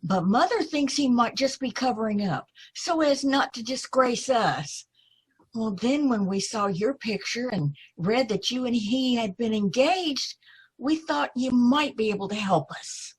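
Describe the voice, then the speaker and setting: A woman's voice: a little high, expressive, a little slow, husky, low-quality recording. A woman playing a polite, earnest young woman in an old time radio drama, speaking hesitantly and apologetically. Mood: earnest, hopeful. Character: polite, earnest young woman seeking her lost brother.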